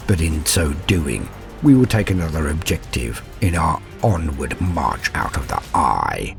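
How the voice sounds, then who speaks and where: A man voicing a ruthless, zealous warrior of dark gods who recounts his conquest in a menacing, theatrical and grandiose way. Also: low voice, gravelly, full character voice. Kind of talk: dramatic first-person war story narration.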